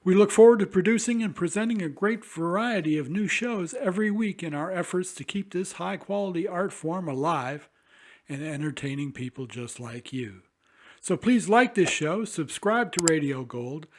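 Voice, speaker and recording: A man's voice: a little high, moderate pace. A man reading a scripted announcement as the host of an audio drama channel.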